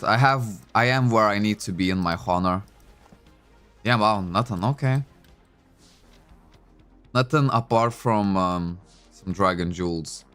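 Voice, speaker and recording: A man's voice: lowish voice, fairly bright tone. A man whose first language is Lithuanian, chatting casually at home into a desk microphone while playing a game, thinking aloud with many fillers. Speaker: game streamer. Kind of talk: casual livestream commentary.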